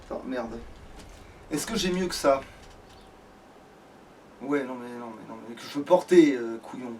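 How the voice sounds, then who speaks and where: A man's voice: medium pitch, measured pace, darkish tone, recorded from a distance, slight background noise. A man hosting a let's play, chatting casually, swearing and grumbling as he plays. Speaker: let's play host, playing the game. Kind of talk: casual gaming chat.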